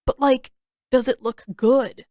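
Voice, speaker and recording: A woman's voice: high-pitched, fairly even intonation, slow pace, dark tone, no echo, studio quality, pitched above the performer's own voice. A woman voicing a vain, defensive teenage boy in an audiobook story, casual and exasperated in manner. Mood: self-conscious, teasing.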